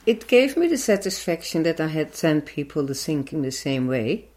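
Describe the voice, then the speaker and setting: An older woman with a Dutch accent, speaking earnestly and a little haltingly as an activist being interviewed. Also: low-pitched, sing-song, a little mellow. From Netherlands. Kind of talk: personal interview answer.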